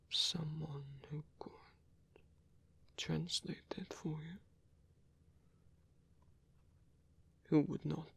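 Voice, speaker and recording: A man playing a lonely, tender old vampire with a German accent in an ASMR roleplay, speaking softly and haltingly with formal phrasing. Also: fairly high pitch, exaggerated voice. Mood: earnest, awkward. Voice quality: breathy, husky. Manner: hesitant, halting, formal phrasing, soft-spoken.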